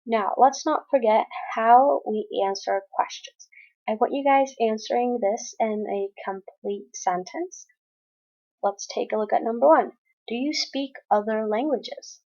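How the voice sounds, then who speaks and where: A woman's voice: a little high, unhurried, a little mellow, muffled recording. A woman teaching a college English class, explaining exercises step by step in a recorded lesson. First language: Spanish. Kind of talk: recorded screen-share lesson.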